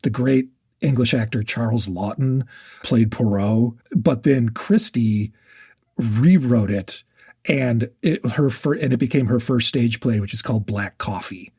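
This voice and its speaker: A man's voice: mid-range voice, a little slow, darkish tone, husky, no room sound, clean studio sound. A man co-hosting a podcast, casually discussing books and sharing researched facts, often restarting and correcting himself mid-sentence. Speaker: podcast co-host.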